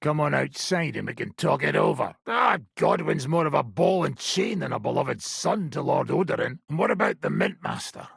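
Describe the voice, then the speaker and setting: An actor playing a gruff, sardonic, middle-aged medieval mercenary leader in a video game, blunt and commanding, with a raspy, gravelly voice. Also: male voice, on the high side, animated, normal speed, hardly any pauses, a bit bright, no room sound, clean studio sound, heavily acted. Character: gruff, foul-mouthed ageing medieval mercenary band leader.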